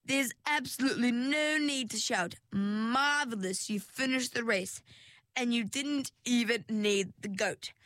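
A woman's voice: fairly high pitch, a little slow, a bit bright, no room sound, pitched above the performer's own voice. A woman voicing a scheming, elegant female mermaid villain who speaks in a pleasant, condescending way. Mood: smug.

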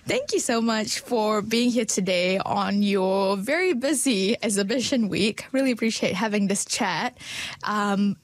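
A woman's voice: mid-range voice, no room sound. A woman hosting a community podcast, introducing a guest and asking questions in an encouraging way. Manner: bright, encouraging, reads intros smoothly. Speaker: podcast host. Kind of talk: warm-toned hosted interview.

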